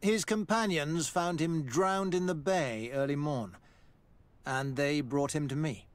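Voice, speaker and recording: Game role, male actor: male military doctor, learned and courteous, matter-of-fact, slightly raised pitch. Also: unhurried, dry recording, lightly acted. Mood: regretful, matter-of-fact. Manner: formal, polite, precise. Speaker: army doctor. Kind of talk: video game dialogue acting.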